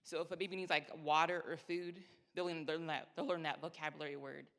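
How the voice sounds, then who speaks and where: An audience member asking a quick, probing question from the floor. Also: mid-range voice, fairly even intonation, fairly bright tone. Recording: distant, hall reverb.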